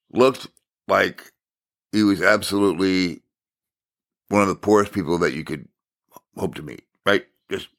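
An American man from Minnesota giving an informal sermon as a Bible teacher, telling stories in deliberate, halting phrases with emphatic repetition. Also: low-pitched, fairly bright tone. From Minnesota, United States.

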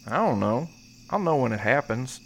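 A man's voice: mid-range voice, very animated, moderate pace, creaky. An actor playing a cautious, grim old man on a night stakeout in a horror audio play, with crickets behind. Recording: noisy track with insect sounds.

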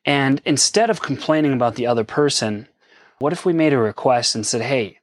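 A man's voice: medium pitch, expressive, brisk. A man talks through relationship advice with his partner in an earnest, open way. His voice sits in a middle register. The sound is dry and close, with little room echo. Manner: earnest, self-deprecating, emphatic when quoting. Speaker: podcast co-host. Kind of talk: casual conversational advice. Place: lives in an RV park.

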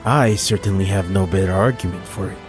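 A man voicing a self-satisfied male rogue who defends himself slowly and smoothly. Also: low voice, music in the background, natural voice. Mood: smug. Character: smug, thrifty male rogue.

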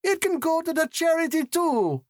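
A man's voice: extremely high pitch, wildly swooping pitch, normal speed, a bit bright, dry recording, studio quality, voice pushed up, heavily acted. A man putting on a sweetly bossy young woman. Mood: sweet, firm. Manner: sweetly firm.

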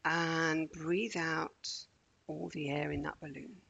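Female meditation guide for children. Soft-edged breathy tone with vocal fry, measured and soothing delivery. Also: deep voice, steady tone, muffled recording. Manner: gentle, measured, deliberate pauses.

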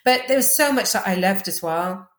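A woman with a Welsh accent, chatting quickly as a podcast guest, full of fillers and restarts. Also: medium pitch, expressive, echoey room. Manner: fast-talking, chatty, lots of fillers. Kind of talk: casual interview chat.

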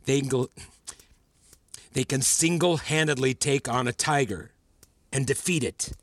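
Male mentor, played by an actor, medium pitch, dry sound, didactic, patronising, probing, audio drama. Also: no echo, natural voice. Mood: measured, instructive. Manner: measured, didactic, teacherly. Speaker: voice actor in a fiction podcast. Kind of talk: acted audio drama dialogue.

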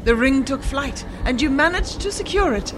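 Bold young fairy-tale lady, radio drama: high, very animated, measured and theatrical, faint background noise. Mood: taunting, deliberate.

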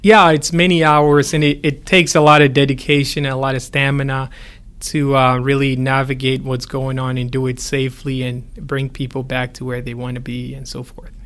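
Man with fairly even pitch. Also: normal speed. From Ghana. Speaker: neurosurgeon, interview guest. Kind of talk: conversational interview answers.

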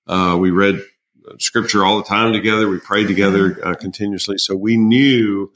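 A man's voice: fairly low pitch, steady tone, normal speed, fairly bright tone, low-quality recording. A man in his sixties telling personal stories as a podcast guest, emphatic and wryly humorous. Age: 60s. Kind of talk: conversational personal storytelling.

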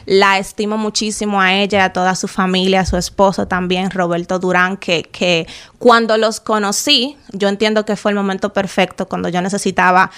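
Young Dominican woman, smooth clear voice, earnest and reflective radio interview guest, pauses with fillers. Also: medium pitch, steady tone, a bit bright. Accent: Dominican Spanish. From Dominican Republic. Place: radio studio.

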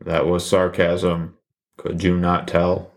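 A man voicing a deadpan, literal-minded killer who talks in a flat, robotic monotone. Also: deep voice, slow. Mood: flat menace.

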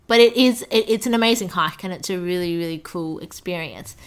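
A woman's voice: on the low side, brisk. A young adult woman hosting a podcast, telling an anecdote in a casual, chatty way with self-deprecating humour. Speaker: podcast host.

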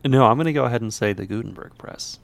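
A man's voice: medium pitch, quick pace, creaky. A man co-hosting a podcast, asking questions and making wry jokes. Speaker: podcast co-host.

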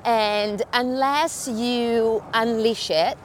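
A woman's voice: fairly high pitch, fairly even intonation, drawn-out words, a little hiss or hum. A woman from Italy with an Italian accent, speaking as a podcast guest in casual, enthusiastic conversation while walking outdoors. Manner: enthusiastic, earnest. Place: outdoors in a large city park.

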